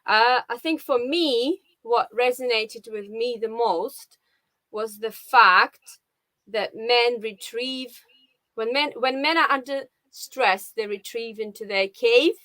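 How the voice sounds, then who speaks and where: A woman's voice: a little high, takes it slowly, sharp tone, no echo, rough recording. A woman in her forties with a Russian accent, a guest on a live video call, talking casually and hesitantly with many fillers.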